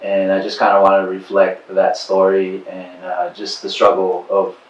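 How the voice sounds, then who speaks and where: Very deep, flat-voiced male director. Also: normal speed. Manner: hesitant, many fillers. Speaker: film writer and director. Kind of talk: casual interview. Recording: roomy, distant, dull sound.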